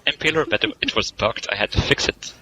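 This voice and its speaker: A man on a podcast panel teases and speaks bluntly in casual chat. Clear background noise sits behind his voice. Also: fairly low pitch, quick pace.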